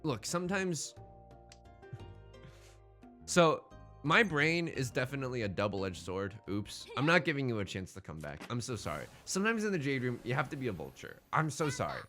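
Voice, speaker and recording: A man's voice: medium pitch, big pitch swings, normal speed, pushed and bright. A man gives casual running commentary as host of a let's play, thinking aloud as he goes. His mid-range voice swoops around animatedly, with joking, self-deprecating asides and playful drama. Music plays faintly behind him.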